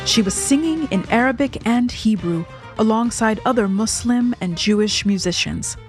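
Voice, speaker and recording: A woman host giving measured, scripted documentary narration. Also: a little high, animated, over music.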